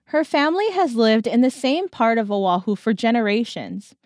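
A woman narrating a scripted documentary story as its reporter, in a measured storytelling manner. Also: on the high side, big pitch swings, darkish tone, no echo, clean studio sound.

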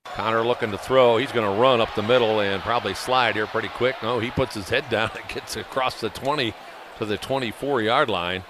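A man giving live radio play-by-play of a football game, excitable and quick to raise his voice for big plays. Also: lowish voice. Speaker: play-by-play announcer. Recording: noisy live stadium broadcast ambience.

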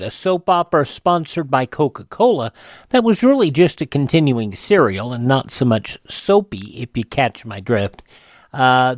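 A man's voice: fairly high pitch, no echo, studio quality. A man hosting a classic radio show, presenting in a conversational style.

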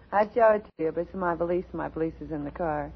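An actress playing a folksy young working woman in an animated 1950s radio drama style. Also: sits low, a little fast, a little hiss or hum. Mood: chatty, easygoing. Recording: muffled old radio, loud music.

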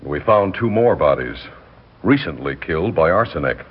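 An actor playing a skeptical American police lieutenant, firm and measured, in a 1960s radio drama. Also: male voice, low voice, expressive, husky. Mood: accusing. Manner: measured, firm, dryly insistent. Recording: dull 1960s radio recording with music.

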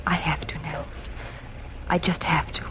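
An actress playing a refined, restless young schoolteacher in 1940s radio drama style. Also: female voice, sits low, level tone, unhurried, a bit bright, in a whisper. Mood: regretful. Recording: noisy 1940s radio broadcast.

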